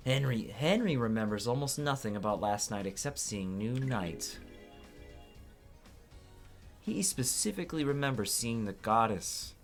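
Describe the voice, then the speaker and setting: A man's voice: medium pitch, expressive, unhurried, faint music behind. A man reading courtroom game dialogue aloud as a let's player, with casual asides.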